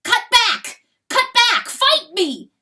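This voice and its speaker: A woman's voice: medium pitch, animated, takes it slowly, pushed and bright, recorded from a distance. A woman voicing a proud, anguished rooster from an animal fable, crying out and growling in rage and torment. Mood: furious, anguished. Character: proud, anguished rooster raging at his enemy.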